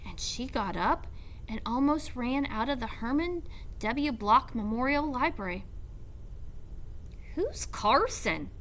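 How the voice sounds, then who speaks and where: Female teacher, storybook narration, unhurried, animated, bright high voice. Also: slight background noise, rough recording. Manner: deliberate, animated. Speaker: teacher reading aloud.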